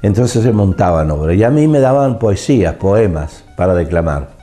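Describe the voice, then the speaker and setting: An older Argentine man from Mendoza with a very low, dark voice. He reminisces in an interview, often repeating words as he searches for the next. Music plays faintly behind him. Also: very animated, normal speed. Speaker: veteran radio drama actor being interviewed.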